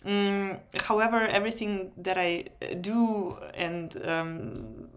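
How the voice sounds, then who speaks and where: A Serbian-speaking woman giving a webinar lecture in English, with many pauses, ums and restarts. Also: mid-range voice. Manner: unhurried, many ums and restarts, light laughs. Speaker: webinar host. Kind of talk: spoken lecture.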